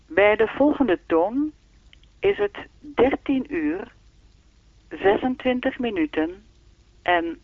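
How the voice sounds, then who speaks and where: A woman playing a lonely speaking clock in a radio play, measured, formal and deadpan, through a telephone filter. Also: medium pitch, no room sound, exaggerated voice. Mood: formal, automatic. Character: lonely, evasive female speaking-clock voice with a will of its own. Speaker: telephone time announcer. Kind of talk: radio play acting, formulaic announcement turning to dialogue. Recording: narrow, tinny phone-line sound.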